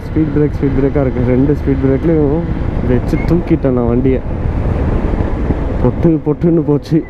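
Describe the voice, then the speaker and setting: A man's voice: mid-range voice, fairly even intonation, a little fast. A young man with a Tamil accent chatting playfully to his viewers while riding a motorcycle, speaking through a helmet mic with the visor open. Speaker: motovlogger. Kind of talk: casual chat while riding. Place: on a motorcycle on open roads outdoors. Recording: muffled with loud wind and traffic noise. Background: wind, engine and traffic.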